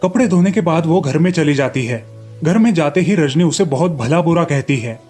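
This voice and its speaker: Higher-pitched man narrating a children's story. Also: lively intonation, normal speed, darkish tone, faint music behind.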